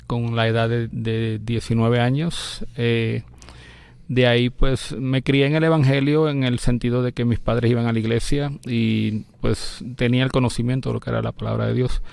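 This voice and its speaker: Pastor with a gravelly voice. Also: male voice, medium pitch, a little mellow, no echo. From Puerto Rico. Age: 50s. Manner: measured, restarts words often. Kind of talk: interview, telling his story.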